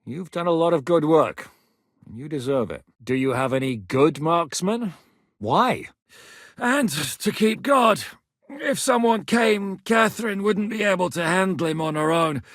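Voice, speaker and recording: A man's voice: fairly high pitch, expressive, measured pace, fairly bright tone, dry recording, clean studio sound. An actor voicing a blunt, battle-hardened male rebel commander in a game, giving plain talk and bellowed battle orders. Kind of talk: game voice acting.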